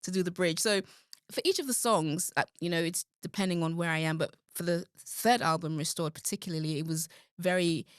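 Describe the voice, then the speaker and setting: A gospel singer woman speaking in an interview, earnest and reflective. Her voice sits a little low. The sound is dry and close, with no room around it. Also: fairly quick, smooth, no room sound. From London. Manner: earnest, reflective, warm about her faith. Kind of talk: casual interview talk.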